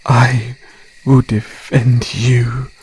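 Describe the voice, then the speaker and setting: A man's voice: medium pitch, little pitch movement, drawn-out words, darkish tone, breathy edge, full character voice. A man playing an obsessively loving, menacing male werewolf in an audio roleplay, with intense, dramatic delivery. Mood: anguished devotion.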